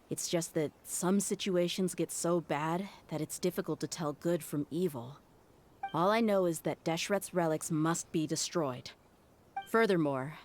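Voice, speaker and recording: An actress plays a tough young mercenary woman in a video game. Her voice is low and firm, matter-of-fact and resolute, with faint noise behind it. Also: darkish tone, natural voice.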